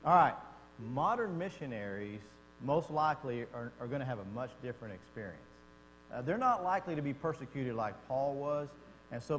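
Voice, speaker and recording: Texan man, Bible class teacher, folksy and chatty; echoey hall, faint mains hum. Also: mid-range voice. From Texas. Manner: folksy and conversational, asks the class questions. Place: church classroom.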